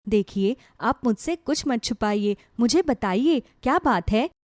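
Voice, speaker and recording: A woman plays a devoted village wife and mother from a cartoon tale. She sounds high and animated, with a worried, pleading manner. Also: unhurried, no room sound, clean studio sound.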